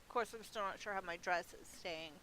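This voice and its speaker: A woman's voice: medium pitch, a little fast, poor audio. Woman's casual gameplay chat, hissy recording. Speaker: video game streamer narrating own play. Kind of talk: casual gameplay commentary, talking to self. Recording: hissy home recording, steady noise.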